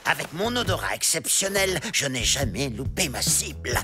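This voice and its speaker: A man's voice: medium pitch, big pitch swings, moderate pace, music in the background, natural voice. A man voicing a pompous, obsessive male exterminator in a cartoon, theatrical and self-important. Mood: menacing, confident. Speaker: voice actor. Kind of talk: cartoon dub acting.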